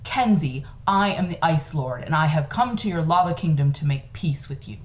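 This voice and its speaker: A woman's voice: sits low, animated, normal speed. A woman reading as a bossy young brother. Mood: playful, grand. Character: bossy, teasing older brother, a young boy.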